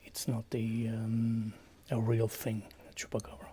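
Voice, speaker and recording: A man from Portugal with a Portuguese accent, chatting quietly on a stream, hesitant, with many fillers and slips into whispers. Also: on the low side, completely flat delivery, a little slow, a little hiss or hum. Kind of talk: quiet casual chat with viewers.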